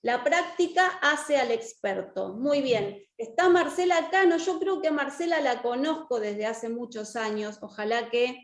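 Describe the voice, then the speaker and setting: A woman's voice: high-pitched, measured pace, hardly any pauses. An Argentine woman teaching an interactive online class in an encouraging way, checking understanding with short questions. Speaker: course teacher and lawyer. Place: indoor room, online video class. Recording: echoey room.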